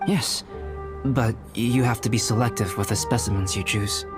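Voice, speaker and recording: A man voicing a calm, aloof young artist-scholar in an anime fantasy game, speaking in a measured, formal, articulate way. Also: fairly low pitch, natural voice. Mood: explanatory. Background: game music.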